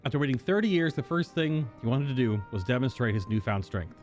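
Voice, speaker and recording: A man's voice: mid-range voice, animated, brisk, close to an everyday voice. A man voicing an earnest, determined young royal from a video game, speaking plainly and resolutely. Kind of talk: acted reading of video game dialogue. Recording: game music under the voice.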